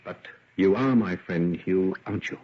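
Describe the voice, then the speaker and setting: A man playing an earnest, anxious gentleman in an old radio drama. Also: deep voice, normal speed, slight background noise, close to an everyday voice. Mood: urgent, earnest. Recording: dull vintage radio recording.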